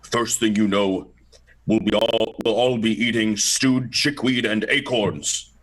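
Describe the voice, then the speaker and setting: A man's voice: on the low side, fairly even intonation, slow pace, fairly bright tone. A man playing a stubborn, folksy old village grandsire with a grumbling rustic drawl in an amateur radio play. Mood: scornful, grumbling.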